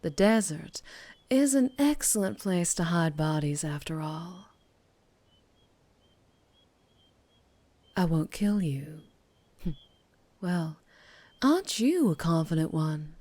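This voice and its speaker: A woman's voice: lowish voice, expressive, slow, warm tone. A woman voicing a mysterious, teasing and menacing female vampire biker in an ASMR roleplay. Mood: coolly menacing.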